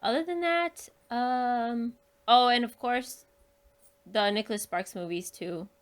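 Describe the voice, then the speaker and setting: A woman's voice: a little high, slow pace. A woman chatting casually and informally as a podcast guest, often saying "like". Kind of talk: casual chat.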